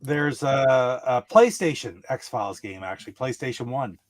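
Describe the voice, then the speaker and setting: A man's voice: mid-range voice, moderate pace. Male panelist, mid-range voice, informal conversational delivery. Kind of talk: casual chat.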